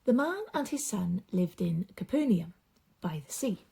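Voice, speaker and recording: A woman reading a storybook aloud for young children, with dramatic emphasis and drawn-out repeated words. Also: medium pitch, lively intonation, vocal fry, warm tone, slightly rough.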